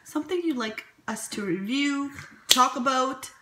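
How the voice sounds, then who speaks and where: A woman in her late twenties chatting casually and teasingly with her sister in a vlog, telling stories with lively energy. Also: high voice, unhurried. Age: late 20s. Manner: teasing, animated storytelling. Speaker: vlogger host. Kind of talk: casual chat. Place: indoor room, sitting side by side. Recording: echoey room with background noise.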